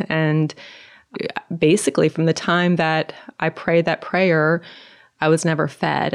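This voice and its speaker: A middle-aged woman from Norway with a Norwegian accent, soft-spoken and hesitant, telling her personal story in a conversation. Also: deep voice, clean tone. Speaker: guest.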